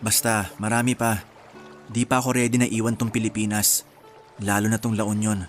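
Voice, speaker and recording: An actor with a Filipino accent playing an easygoing, sentimental young man in a radio drama, relaxed and reflective. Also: lowish voice, a little fast, soft background music, natural voice. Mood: agreeable. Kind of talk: Tagalog radio drama acting.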